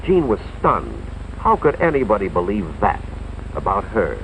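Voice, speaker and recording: An American man playing an earnest, authoritative school official, narrating a cautionary tale in a deliberate, moralizing 1950s educational film style, with dramatic emphasis. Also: on the low side, huge pitch swings, fairly bright tone, a little hiss or hum, exaggerated voice. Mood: sympathetic, grave. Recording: tinny, band-limited 1950s film soundtrack, music behind.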